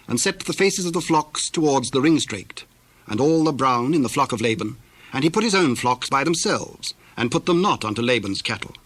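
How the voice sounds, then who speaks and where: Male narrator for Bible drama: formal, measured, medium pitch, very little room echo. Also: a bit bright.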